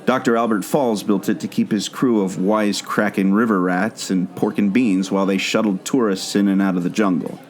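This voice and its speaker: A man's voice: fairly low pitch, fairly even intonation, moderate pace, hardly any pauses, close to an everyday voice. A man playing a wisecracking, knowledgeable adventurer in a comic radio play, explaining things in a quippy way. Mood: knowing. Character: wisecracking, knowledgeable male adventurer held captive. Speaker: podcast guest playing himself.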